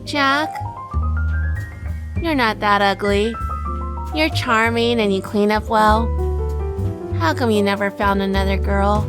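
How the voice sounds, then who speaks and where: A woman's voice: mid-range voice, slow, pauses often, close to an everyday voice. A woman acting a sassy, teasing dame who narrates a noir comedy audio drama. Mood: tender, teasing. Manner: teasing, sardonic. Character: sassy gangster-era dame narrating her capers. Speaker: co-host and lead voice actress. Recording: music bed under the voice.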